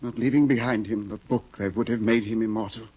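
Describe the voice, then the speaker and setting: An actor playing a fussy, earnest scholarly husband in a 1950 radio drama, flustered and hesitant. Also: male voice, fairly low pitch, steady tone, fairly quick, husky. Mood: mournful. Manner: flustered, hesitant, with many uh's. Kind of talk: 1950s radio drama acting. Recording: dull lo-fi 1950 radio broadcast.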